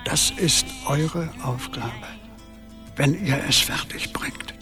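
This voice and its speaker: An actress playing a solemn, mysterious guide in a radio play, speaking in a portentous, breathy half-whisper. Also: female voice, low voice, big pitch swings, slow, sharp tone, exaggerated voice. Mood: solemn, ominous. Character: mysterious, solemn wise guide warning young heroes. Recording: music under the voice.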